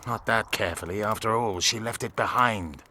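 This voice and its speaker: Hard-edged, blunt rebel commander. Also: male voice, lowish voice, a little fast, sharp tone, slight background noise. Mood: suspicious, curt. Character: hardened, suspicious male rebel commander, blunt and fierce. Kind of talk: video game dialogue acting.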